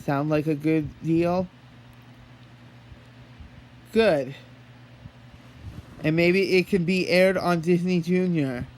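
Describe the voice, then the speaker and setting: A man talking in an earnest, halting way, as if holding a one-sided phone conversation. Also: on the high side, rough recording. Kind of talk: one-sided pretend phone call, rambling monologue.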